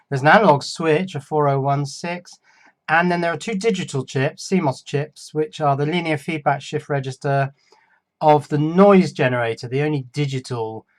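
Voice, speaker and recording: A man giving casual hands-on commentary on an electronics build, musing aloud and chatting to the viewer in a small workshop. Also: on the high side. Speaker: electronics hobbyist and video presenter. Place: small cluttered home workshop.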